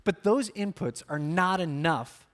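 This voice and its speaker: Higher-pitched man giving a conference talk. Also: expressive, unhurried, a bit bright. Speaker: conference speaker, product marketer. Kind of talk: business conference talk to an audience.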